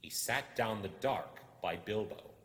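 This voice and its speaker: A man reading a story aloud as dramatic narration, expressive and suspenseful. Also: lowish voice, moderate pace. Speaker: amateur audiobook reader. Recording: echoey hall-like reverb.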